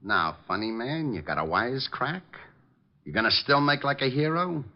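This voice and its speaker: A man's voice: mid-range voice, expressive, normal speed, a little hiss or hum, lightly acted. An actor playing a short-tempered, threatening gangster boss who talks in blunt tough-guy slang in a 1940s radio crime drama. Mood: angry, impatient. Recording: dull, lo-fi 1940s radio recording.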